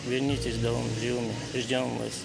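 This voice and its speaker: A man, a volunteer soldier giving halting, reserved interview answers in short broken phrases. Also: medium pitch, level tone, creaky, very noisy. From Azerbaijan. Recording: loud music bed under the voice.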